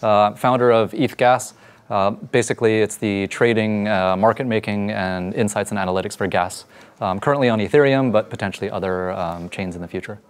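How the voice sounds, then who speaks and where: A man's voice: fairly low pitch, fairly even intonation, fairly quick, clean tone. A man introducing himself as a founder on a panel, brief and somewhat hesitant. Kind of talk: conference panel talk.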